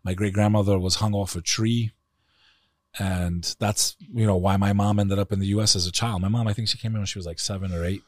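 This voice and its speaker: A man with a very deep voice and a New York accent, speaking as a podcast guest in passionate, emphatic conversation. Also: fairly even intonation, brisk, no echo, clean studio sound. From United States.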